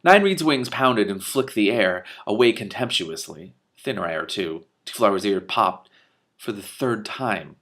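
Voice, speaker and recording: A man reading a comic fantasy novel aloud in a lowish voice. He reads expressively, swinging between quiet and loud, and stops now and then for amused asides. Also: lively intonation, measured pace. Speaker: reader and commentator.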